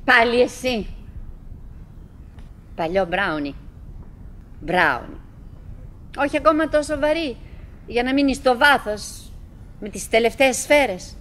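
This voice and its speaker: Middle-aged wife, high voice, wistful forced cheer, fretful, halting musing, coaxing then murmuring, theatrical radio play. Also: female voice, expressive, fairly bright tone. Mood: self-admonishing, musing. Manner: halting, musing, self-interrupting, swinging between coaxing and murmuring. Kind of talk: theatrical radio drama monologue.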